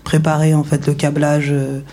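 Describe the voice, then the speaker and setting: Mellow-voiced woman, hesitant and casual. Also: deep voice, monotone, normal speed. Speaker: radio workshop participant. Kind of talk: casual round-table talk.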